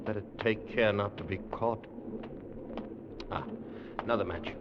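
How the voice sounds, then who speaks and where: An actor playing a resolute, analytical explorer, speaking gravely and deliberately in 1950s radio drama style. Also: male voice, fairly low pitch, steady tone, lightly acted. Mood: grave, tense. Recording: dull, noisy vintage radio recording.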